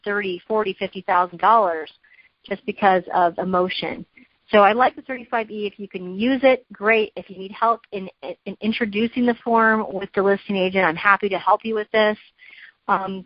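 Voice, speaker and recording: A woman leads a training session over a conference call. She explains in a coaching, instructional way, working through examples and checking understanding. Her mid-pitched voice comes through dry and somewhat muffled, with lo-fi call sound. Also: no echo. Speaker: real estate trainer leading a training call. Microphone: conference call line. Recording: dull, lo-fi conference call audio.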